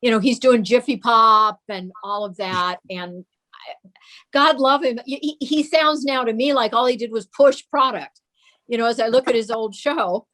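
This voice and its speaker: A woman's voice: fairly high pitch, moderate pace, fairly bright tone. An older woman as an interview guest, casually reminiscing in a chatty way and repeating words for emphasis.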